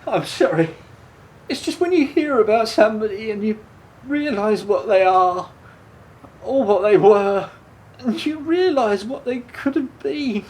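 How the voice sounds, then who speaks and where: An actress playing a remorseful, awkward driver weighed down by guilt in a naturalistic radio drama, speaking with hesitant stammers. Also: female voice, high voice, darkish tone. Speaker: radio play actor.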